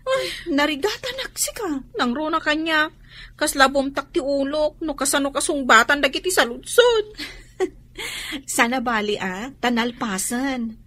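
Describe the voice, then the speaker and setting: A woman acting young, excitable, teasing student cousins in an Ilocano-accented radio drama. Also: high-pitched, measured pace, exaggerated voice. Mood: relieved.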